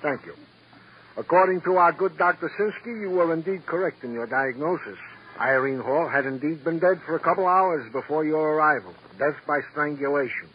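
A man's voice: a little high, moderate pace, close to an everyday voice. A man playing a fussy, devoted police assistant with ornate, precise speech in a classic radio drama. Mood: fussy, attentive. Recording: dull, noisy old radio recording.

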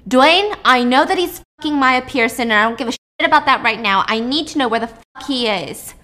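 A desperate, angry wife and mother in a spoken true story, played by a female narrator. She is loud and high-voiced, pushing out blunt, profane demands. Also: lively intonation, fairly quick, slight background noise. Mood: furious, desperate.

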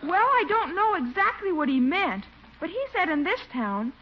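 A smug little gentleman of a boy for old radio comedy, formal and painfully proper. The voice is high and carefully precise. The recording is dull with slight background noise. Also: big pitch swings, moderate pace. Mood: innocently smug. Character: prim, overly polite, smug little boy. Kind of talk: 1940s radio comedy acting. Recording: muffled, hissy old radio broadcast.

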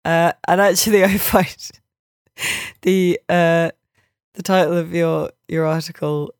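A woman's voice: fairly low pitch, little pitch movement, slow, clear, resonant tone, dry recording, studio quality. A woman hosting a podcast, chatting casually in a wry, apologetic way. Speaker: podcast host. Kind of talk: casual chat.

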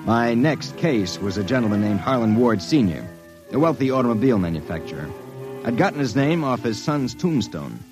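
An actor playing a wry, slangy, hard-boiled private detective in an old-time radio drama. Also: male voice, mid-range voice, big pitch swings, fairly quick, over music, close to an everyday voice. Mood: grim, wry. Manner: wry, slangy tough-guy delivery. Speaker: narrator and lead actor. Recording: vintage radio drama recording.

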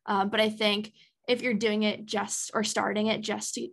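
Young woman in her early twenties, podcast guest, chatty, casual, filler-heavy, mid-pitched voice. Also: flat delivery, moderate pace, vocal fry, a bit bright. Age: early 20s. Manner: chatty, many ums and likes.

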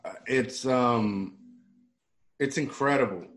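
Podcast host, man from Puerto Rico, mid-pitched, loose and rambling. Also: slow, a bit bright. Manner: rambling, frequent restarts and fillers. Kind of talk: casual interview chat.

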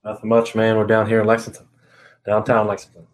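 A man's voice: fairly low pitch, completely flat delivery, fast talker. A young man co-hosting a podcast in casual conversation. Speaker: podcast co-host.

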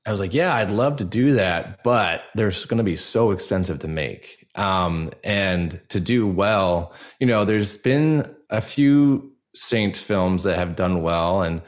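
Deep-voiced young man, casual and earnest. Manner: earnest, rambling, frequent "like" and "you know". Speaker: podcast guest, actor and audio drama creator. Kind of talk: casual conversational interview answers.

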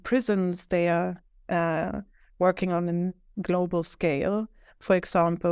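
A woman's voice: on the low side, darkish tone, smooth, dry recording, clean studio sound. A woman academic answering interview questions about her work in a reflective, explanatory, conversational way. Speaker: academic author, interview guest.

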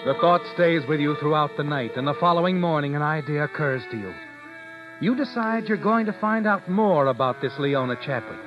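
Actor as a sly radio narrator over music. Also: male voice, a little high, moderate pace, close to an everyday voice. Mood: sly, knowing. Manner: insinuating second-person address. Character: sly, knowing male mystery narrator, needling the heroine. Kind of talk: old-time radio mystery narration. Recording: muffled vintage radio broadcast.

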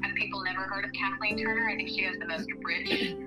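A woman's voice: medium pitch, fairly even intonation, fast, low-quality recording. Woman guest, earnest and candid, light laughs. Music bed behind. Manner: earnest, laughs between thoughts. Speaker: podcast guest, blogger. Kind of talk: casual interview chat.

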